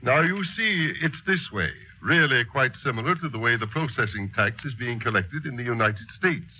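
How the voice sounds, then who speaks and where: Man acting earnest schoolmaster politician, emphatic, didactic, medium pitch, 1930s radio drama, lo-fi. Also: expressive, moderate pace, close to an everyday voice. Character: earnest schoolmaster politician patiently explaining his scheme. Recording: muffled old 1930s radio recording.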